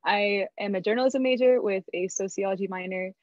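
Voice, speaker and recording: A young woman from Oregon, a recent graduate and orientation leader, talking in a chatty, animated way. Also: medium pitch, brisk, no echo, highs cut off. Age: young adult. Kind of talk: casual panel advice.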